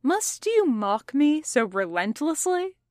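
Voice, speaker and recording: Female reader as pedantic young schoolboy, raised pitch, formal and precise, easily exasperated, storybook reading. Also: very animated, slow, a little mellow, no echo, studio quality, heavily acted. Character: prim, exasperated, pedantic seventh-grade schoolboy.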